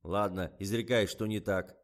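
A man voicing an excitable, hot-tempered advert director in an audiobook, barking orders in blunt slang. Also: lowish voice, moderate pace, lightly acted. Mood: irritated.

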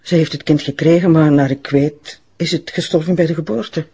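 An actress with a Flemish accent playing a middle-aged woman doctor in a radio drama, polite and guarded, with halting phrasing. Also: low-pitched, steady tone, mellow, slightly rough, full character voice. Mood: hesitant, troubled.

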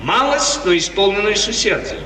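Restless feudal prince, 1950s radio drama, very animated delivery, distant and noisy old recording. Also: male voice, sits high, brisk, roomy sound, in a large hall, full character voice. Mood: animated, gracious. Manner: theatrical, musing aloud, repeats phrases. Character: restless lovesick prince of a small feudal state. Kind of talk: 1950s Russian radio theatre acting.

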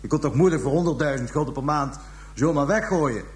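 An actor playing a callous pimp making a halting, coarse confession to police in a radio drama. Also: male voice, a little high, natural voice. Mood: cold, self-justifying. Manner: halting, hesitant storytelling, coarse slang. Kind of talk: radio drama acting, interrogation scene.

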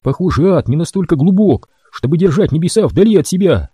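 A man's voice: fairly high pitch, animated, fairly quick, dark tone, breathy edge, dry recording, studio quality, pitched above the performer's own voice. A man voicing a fierce, defiant young woman held captive, in a spoken story. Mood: wry.

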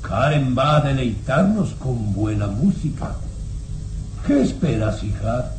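Casting: menacing aristocratic baron for radio drama; unhurried, widely swooping delivery, suave and theatrical. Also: male voice, mid-range voice, very animated, noisy background. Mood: suave coaxing. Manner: theatrical, deliberate, drawn-out phrasing with sinister chuckles. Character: sinister suave old-world baron, father, menacingly courteous.

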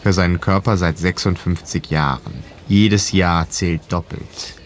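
Very deep-voiced male narrator. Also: fairly even intonation, a little slow, a little hiss or hum. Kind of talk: scripted documentary narration. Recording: music behind the voice.

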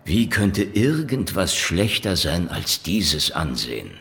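An actor playing a cynical, aging, hard-boiled Soviet private detective in a radio drama, with a gravelly voice and a sardonic, world-weary manner. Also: male voice, low voice, very animated, slow, a bit bright, heavily acted. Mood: insolent, blunt. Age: older. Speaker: lead character and narrator. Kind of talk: noir radio drama narration and dialogue.

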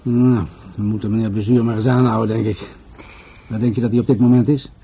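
A man acting in a radio play as a musing, world-weary police commissioner who speaks deliberately. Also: mid-range voice, flat delivery, warm tone, slight background noise, close to an everyday voice. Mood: pensive.

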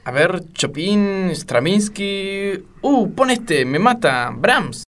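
An Argentine actor playing a bumbling, bossy detective boss in a comedic radio play. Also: male voice, high voice, very animated, extremely slow, a bit bright, heavily acted. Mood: casual.

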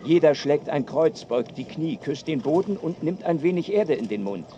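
A man reads dramatic battle narration in the present tense. His voice sits a little high for a man, with clearly noisy ambience behind him. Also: animated, normal speed, mellow, muffled recording.

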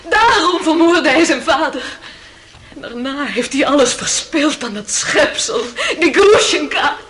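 Very high-pitched, bitter, wounded young woman. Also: animated, a little slow, a bit bright. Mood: bitter, accusing. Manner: impassioned, bitter, emotional. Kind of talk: theatrical radio drama acting. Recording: old radio play with faint noise.

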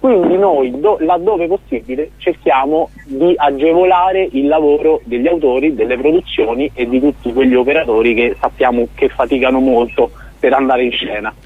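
A man's voice: medium pitch, normal speed, hardly any pauses, breathy edge. A man, a startup founder, explaining his project earnestly as a guest in a radio interview. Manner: explanatory, earnest, with frequent restarts. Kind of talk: radio interview over the phone. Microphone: phone. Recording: tinny dry phone line.

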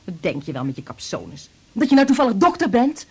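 An actress playing a headstrong, defiant young woman, husky and combative, in a radio drama. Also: fairly high pitch, wildly swooping pitch, fairly quick, a little mellow, exaggerated voice. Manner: combative, emphatic. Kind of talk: naturalistic radio drama acting.

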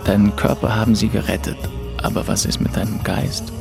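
A man's voice: on the low side, sing-song, brisk, gravelly. A man acting a melancholy, drifting twenty-two-year-old who narrates his travels introspectively in a literary radio drama. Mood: brooding, reflective. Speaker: narrator and lead actor. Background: music under the voice.

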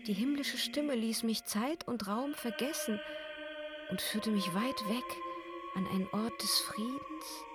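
Breathy, spellbound young opera singer, played by a woman. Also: a little high, unhurried, over loud music, close to an everyday voice. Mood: entranced. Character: spellbound, frightened young opera singer recounting her ordeal. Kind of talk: breathy intimate radio drama narration.

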